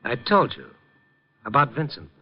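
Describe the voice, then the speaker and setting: An actor playing a cool, world-weary reporter in a 1950s radio drama, wry, laconic and questioning. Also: male voice, medium pitch, wildly swooping pitch, normal speed. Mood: cool, pressing. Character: cool, probing, world-weary newspaper reporter, adult man. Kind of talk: 1950s radio drama acting and first-person narration. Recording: muffled vintage 1950 radio recording.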